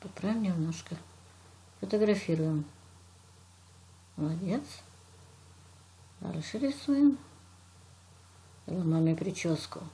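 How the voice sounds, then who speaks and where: A woman's voice: lowish voice, slow, pauses often, warm tone. A woman teacher from Russia walks a child through a drawing lesson step by step, patiently praising and narrating each action.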